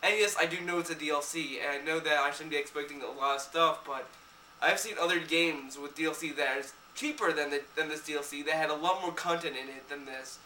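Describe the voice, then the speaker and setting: Young guy kinda sick, rambly game review, highish voice, echoey room w/ faint hum. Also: fairly even intonation, brisk, sharp tone, recorded from a distance. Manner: rambling, many restarts and fillers. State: a little sick. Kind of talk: casual spoken game review.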